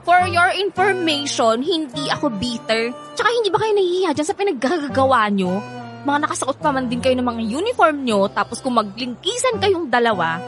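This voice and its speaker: A bitter young Filipino woman in a radio drama. Her voice is high and lively, and she talks bluntly and sassily, quick to be irritated, with music playing underneath. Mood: indignant. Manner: sassy, blunt, slangy. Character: bitter, sharp-tongued, hot-headed young woman, easily irritated.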